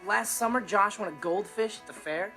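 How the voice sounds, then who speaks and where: A man's voice: high-pitched, normal speed, bright, forceful tone, soft background music. A young American man playing a cocky, mischievous, laid-back teenage boy in a sitcom, talking to the audience in a casual, wisecracking way. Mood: smug. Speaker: sitcom actor. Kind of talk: comedic monologue to the audience.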